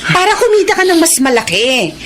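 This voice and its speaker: A woman's voice: very high voice, big pitch swings, a little fast, a bit bright, slight background noise, exaggerated voice. A woman acting a demanding, discontented middle-aged wife and mother in a radio drama, with a Filipino accent. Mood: irritated, taunting.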